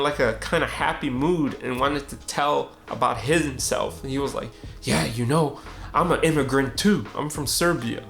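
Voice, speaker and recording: Young man with a gravelly, casual voice. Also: medium pitch, a bit bright. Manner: casual, conversational, acts out the dialogue. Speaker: street photographer and vlogger. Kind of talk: reflective storytelling narration.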